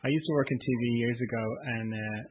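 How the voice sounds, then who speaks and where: A man's voice: medium pitch, fast talker. A middle-aged Irish man with a slight Australian tinge, chatting casually and self-mockingly as a podcast host over a video call. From Ireland. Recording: tinny call line with faint hiss.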